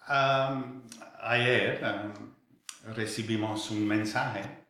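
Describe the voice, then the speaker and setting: A man's voice: medium pitch, steady tone, sharp tone, roomy sound. A man in his sixties from England with an English accent, speaking hesitantly as a guest in a radio interview in a second language. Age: 60s. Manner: halting, many hesitations and restarts.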